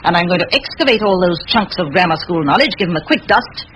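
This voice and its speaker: An actress playing a determined, bossy middle-aged spinster schoolteacher in an old radio play, forthright and given to rhetoric. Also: female voice, lowish voice, animated, fast, noisy background, lightly acted. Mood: commanding, determined.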